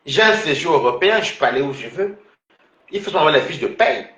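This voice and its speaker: A man's voice: on the high side, animated, brisk, fairly bright tone, roomy sound. A man from Cameroon in a casual, rambling personal monologue, full of restarts and fillers. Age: adult.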